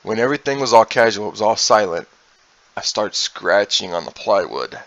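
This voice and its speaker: A man's voice: on the low side, lively intonation, normal speed, fairly bright tone, dry recording, highs cut off. Man telling a casual, rambling story. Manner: rambling, acts out quoted dialogue, mild profanity. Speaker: gaming streamer telling personal stories.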